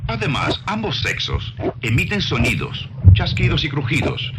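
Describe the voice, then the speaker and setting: A man delivering scripted narration in a deliberate, didactic way, with the rhetorical delivery of a preacher. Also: on the low side.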